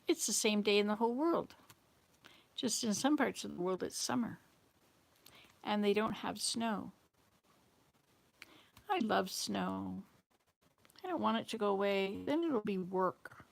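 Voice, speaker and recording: A woman with a Southern US accent hosts a casual livestream chat. Her mid-pitched voice has a noticeable creak. She rambles from one thought to the next as she replies to viewers. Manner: rambling, jumps between thoughts. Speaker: livestream host.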